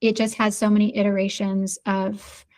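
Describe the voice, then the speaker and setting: Flat-voiced woman musing slowly. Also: mid-range voice. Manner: thoughtful, hesitant, many fillers. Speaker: podcast guest. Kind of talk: casual conversation.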